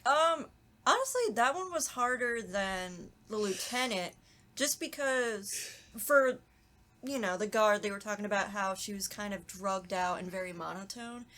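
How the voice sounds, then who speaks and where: Young woman chatting casually, animated and self-deprecating. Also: medium pitch, a little slow. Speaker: voice actress guest on a podcast talkback. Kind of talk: casual chat.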